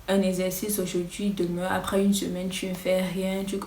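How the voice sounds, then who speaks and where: A woman's voice: lowish voice, little pitch movement, moderate pace, a little mellow, echoey room, far from the mic, slight background noise. A woman whose first language is English teaching English in casual French, hesitant, with many fillers and a nervous, stop-start delivery. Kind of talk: casual vlog-style lesson.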